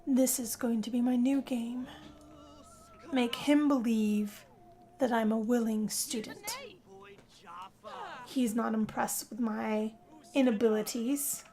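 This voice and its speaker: Casting: newly turned vampire heroine played by a woman, proud and bitter, higher voice, measured theatrical narration for game story. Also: steady tone, warm tone. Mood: defiant, scheming. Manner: dramatic, deliberate. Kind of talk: scripted first-person story narration.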